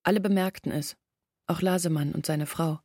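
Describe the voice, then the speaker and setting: An actress playing an earnest, reflective village woman telling her family's past in a measured way, in a literary radio drama. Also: low-pitched, steady tone, darkish tone, no room sound, studio quality. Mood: wistful, earnest.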